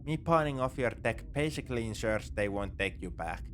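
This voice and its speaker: A man's voice: medium pitch, animated, normal speed, a bit bright, slight background noise, lightly acted. A man playing a wry, morally grey space pirate in a sci-fi ASMR roleplay, with a conversational, nonchalant, dryly teasing delivery. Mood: wry, matter-of-fact. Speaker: audio roleplay performer.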